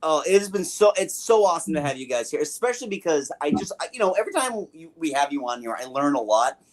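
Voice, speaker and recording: A middle-aged man hosts a casual podcast. His voice sits fairly high for a man, and he talks in a loose, joking, informal way. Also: very animated, fast talker. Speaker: podcast host.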